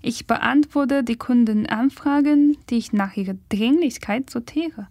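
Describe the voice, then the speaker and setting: A young woman reads her own scripted report, slow and deliberate. Her voice sits a little high and sounds close and dry, with no room around it. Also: a little mellow, no room sound. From China. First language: Chinese. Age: young adult. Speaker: student reporter. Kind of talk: scripted first-person report.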